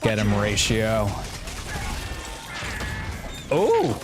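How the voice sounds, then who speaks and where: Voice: mid-range voice, huge pitch swings, drawn-out words. A game streamer giving casual live commentary with playful reactions and exclamations. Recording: game music behind the voice. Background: video game music and sounds.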